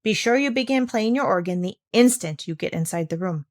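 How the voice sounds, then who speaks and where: A woman's voice: medium pitch, lively intonation, fairly quick, lightly acted. A woman voicing a discreet, obliging manservant in a storybook, matter-of-fact as he gives word. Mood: conspiratorial.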